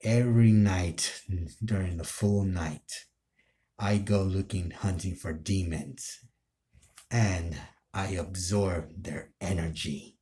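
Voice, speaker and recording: A man playing a menacing, power-hungry anime villain who is neither human nor demon, speaking in a deliberate, ominous, taunting way. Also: deep voice, mellow. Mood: ominous, boastful. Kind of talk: anime villain role play.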